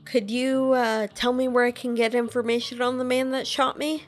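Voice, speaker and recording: A woman's voice: a little high, flat delivery, normal speed, soft background music. A young woman voicing her game character, asking questions hesitantly with fillers. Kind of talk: casual game voice-acting.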